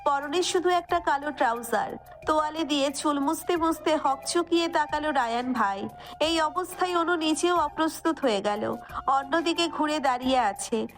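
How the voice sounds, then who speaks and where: A woman's voice: high voice, normal speed, faint music behind. A woman narrator reading a scripted romantic story.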